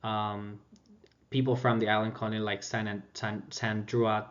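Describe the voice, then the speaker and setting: A man's voice: fairly low pitch, little pitch movement, a little slow. A man from Colombia with a Colombian accent, a native Spanish speaker, giving an informal academic presentation as a student and often searching for words. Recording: dull lo-fi audio.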